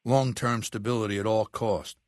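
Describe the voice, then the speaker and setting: Guy voicing a game warlord emperor, middle aged, lowish voice, professorial and disdainful, real deliberate, close dry sound. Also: fairly even intonation, a little mellow, no room sound, natural voice. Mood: lecturing, resolute. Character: imperious, cerebral middle-aged male warlord and self-styled emperor. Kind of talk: video game character dialogue.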